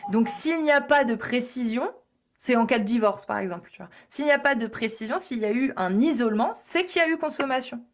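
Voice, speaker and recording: A woman's voice: a little high, fairly quick. Insistent woman hosting a heated debate. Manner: insistent, repeats points, many fillers. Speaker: host of a live debate.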